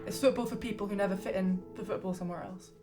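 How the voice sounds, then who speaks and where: Flat-toned young woman from Surrey. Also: mid-range voice, fast talker, music in the background. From Surrey, England. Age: 20s. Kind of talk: documentary interview.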